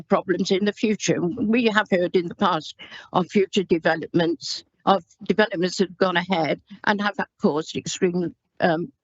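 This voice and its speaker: A councillor, a woman, making a halting formal speech at a planning committee with many pauses and restarts. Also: on the low side, no echo. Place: council chamber. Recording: dull dry meeting audio.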